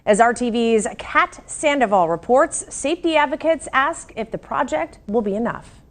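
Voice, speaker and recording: A woman's voice: fairly high pitch, lively intonation, normal speed. An American woman presenting news and weather as a television anchor.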